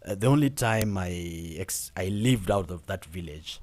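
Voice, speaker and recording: A man's voice: low-pitched, expressive, normal speed, a little mellow. A young Kenyan man hosting a casual podcast, talking with many fillers and repeated words and laughing often. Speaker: podcast host.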